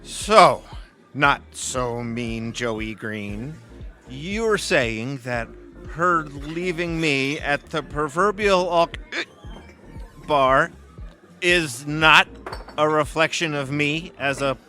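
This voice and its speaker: A man's voice: on the high side, extremely slow, pushed and bright, soft background music, full character voice. A man playing a lovesick drunk in a comedy audio play, slurring and declaiming. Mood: drunken, wounded. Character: drunk, lovesick man drowning his sorrows at a bar. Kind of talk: audio theatre comedy acting.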